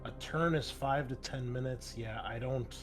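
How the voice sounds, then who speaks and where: A man's voice: mid-range voice, normal speed. A man running a tabletop roleplaying game as game master, conversationally explaining rules and somewhat hesitantly correcting himself. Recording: background music under the voice.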